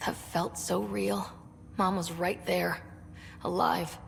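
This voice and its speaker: A woman's voice: on the low side, little pitch movement, slow, gravelly, heavily acted. A woman playing an earnest, grieving young explorer in a video game. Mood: grieving, reflective. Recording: reverberant hall, faint noise.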